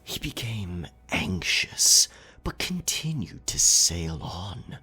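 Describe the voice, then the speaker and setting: A man playing a captain werecat in an ASMR roleplay, telling a scary tale in a breathy, gravelly, theatrical voice with deliberate pauses. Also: low voice, big pitch swings, sharp tone, exaggerated voice. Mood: ominous storytelling. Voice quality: breathy, gravelly half-whisper. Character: pirate captain werecat, dominant, ominous campfire storyteller.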